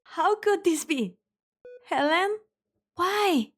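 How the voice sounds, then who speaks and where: Casting: actress as emotional, accusing young woman, very high voice, slow delivery, game dub. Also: animated, heavily acted. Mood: stunned. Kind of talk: scripted game fan dub acting.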